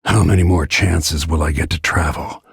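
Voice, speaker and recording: A man voicing a grim, battle-worn middle-aged royal protector and assassin in a video game, with terse, brooding, measured delivery and a gravelly, husky voice. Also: extremely low pitch, fairly even intonation, no echo, clean studio sound, exaggerated voice. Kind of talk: scripted video game character lines.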